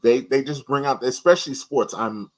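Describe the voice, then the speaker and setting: A man from New York, a guest on a podcast in casual chat, animated and often repeating words as he talks. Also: medium pitch, normal speed.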